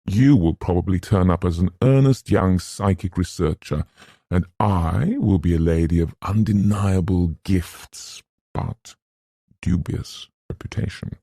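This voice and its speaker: A man voicing a flippant young gentleman in a storybook, with a light, lazy, mock-serious manner and playful humour. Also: sits low, sing-song, brisk, gravelly, slightly rough, no room sound, clean studio sound, full character voice. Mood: idle musing.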